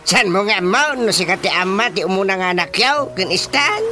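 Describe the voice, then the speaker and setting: A man's voice: high-pitched, lively intonation, normal speed, over music. A man acting a stern village chairman in a radio drama, scolding and emphatic. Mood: scolding, indignant.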